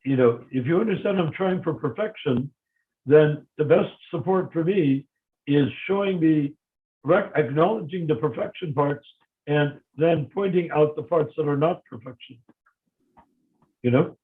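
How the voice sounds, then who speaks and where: A man's voice: fairly high pitch, measured pace. An older man in a casual discussion, speaking emphatically and often repeating words as he starts sentences, heard over a video call. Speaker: discussion guest. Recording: tinny phone-like line.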